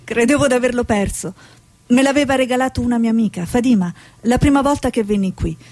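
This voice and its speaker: A woman's voice: fairly high pitch, fast talker, darkish tone, no echo, natural voice. An actress playing an idealistic, earnest Italian woman journalist in a stage drama. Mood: friendly.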